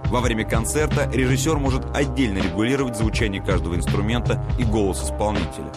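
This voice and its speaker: A man reading scripted documentary narration in a measured, explanatory way. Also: on the low side, loud music behind the voice.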